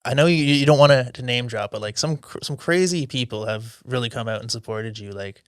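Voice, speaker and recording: A man's voice: mid-range voice, a little fast, no echo. A man hosts a casual podcast interview, easygoing and supportive, his questions trailing and restarting. The sound is dry and close. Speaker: podcast host. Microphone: studio microphone.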